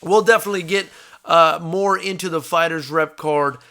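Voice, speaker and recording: A man's voice: fairly high pitch, moderate pace, bright, forceful tone, clear, resonant tone. An American man from South Florida hosting a fight talk show, chatting casually with viewers in a conversational, brotherly way. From South Florida, United States. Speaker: combat sports show host and fight promoter. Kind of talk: casual live fight commentary, answering viewer comments.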